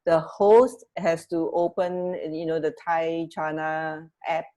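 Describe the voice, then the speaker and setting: A woman co-hosting a radio show in casual chat, talking in a chatty, halting, rambling way. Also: deep voice, steady tone, dark tone.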